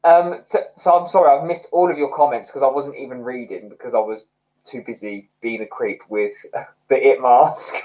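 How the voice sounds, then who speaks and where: A man's voice: medium pitch, fairly even intonation, normal speed, warm tone, rough recording. A man hosting a casual livestream from home, reading viewer comments, chatty and self-mocking and often laughing. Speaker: livestream host. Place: home, indoors.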